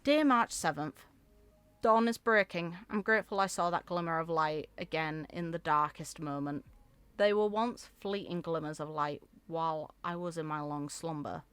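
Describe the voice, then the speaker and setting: Lower-voiced woman reading game lore aloud. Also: normal speed, fairly bright tone, clear, resonant tone, no room sound. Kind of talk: reading story text aloud with casual reactions.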